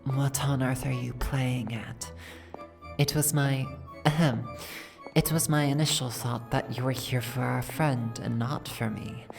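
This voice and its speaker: Lady doing a regal dragon queen for asmr roleplay, super low n gravelly, formal, guarded. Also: steady tone, unhurried, music in the background, heavily acted. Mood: suspicious. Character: regal, reserved female dragon, easily flustered by flirting.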